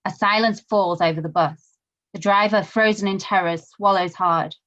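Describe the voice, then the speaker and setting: A woman with a mid-pitched voice narrates stage directions from a screenplay. Her delivery is scripted, and the audio is slightly dull and lo-fi. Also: steady tone, moderate pace, a bit bright.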